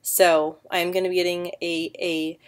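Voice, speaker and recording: A woman's voice: on the low side, level tone, normal speed. Young woman who stutters, talking on webcam. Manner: stutters often, repeating sounds and words; earnest and encouraging. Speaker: vlogger. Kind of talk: casual vlog to camera. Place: living room, seated on a sofa indoors. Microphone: built-in webcam mic.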